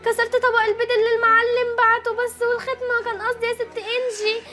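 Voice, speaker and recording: A woman's voice: very high voice, flat delivery, fast talker, over loud music, voice pushed up. A woman voicing a timid, clumsy young girl housemaid who pleads and whines in an Egyptian accent. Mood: pleading. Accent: Egyptian Arabic. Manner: pleading, whiny.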